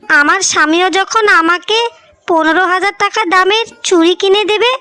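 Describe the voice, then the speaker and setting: Cartoon casting: woman as irritable village elder daughter-in-law, very high bright voice, scolding delivery. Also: normal speed, dry recording. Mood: indignant, defiant. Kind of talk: Bengali moral-story cartoon dubbing.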